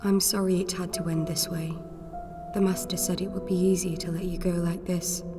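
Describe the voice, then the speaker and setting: Female narrator as regretful young woman assassin, lower-pitched, audiobook letter voice-over drenched in long reverb, music underneath. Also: level tone, moderate pace. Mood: regretful farewell. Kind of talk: dramatic audiobook letter reading.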